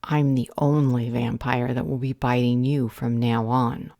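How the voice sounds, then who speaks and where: A woman playing a defiant, possessive, sharp-tongued vampire healer in an audio drama, speaking in a cutting, deliberate way. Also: a bass voice, little pitch movement, no echo, clean studio sound. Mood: possessive, challenging. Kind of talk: dramatic audio roleplay acting.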